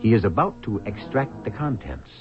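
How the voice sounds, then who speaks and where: An actor as a solemn narrator setting the scene in an old-time radio suspense drama. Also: male voice, on the low side, expressive, normal speed, lightly acted. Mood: ominous. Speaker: announcer. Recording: dull lo-fi radio broadcast recording. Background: music.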